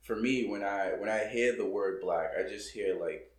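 A man's voice: fairly low pitch, moderate pace, reverberant. A man from Boston, a guest on a casual podcast, talking in a loose, reflective way with many fillers. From Boston, United States. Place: coworking room.

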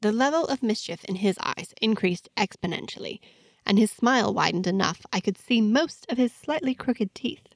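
A woman's voice: medium pitch, animated, moderate pace, no echo. A woman reading a scripted first-person story, narrating expressively and acting out the dialogue. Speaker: host and narrator of a fiction podcast.

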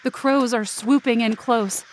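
A high-pitched female voice tells a story in the first person as an anxious young woman played by an actress. The delivery is scripted, immersive and tense, in the manner of a suspense audio drama. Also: fairly even intonation, a little slow, a little hiss or hum, close to an everyday voice. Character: anxious young woman narrating her frightening night hike.

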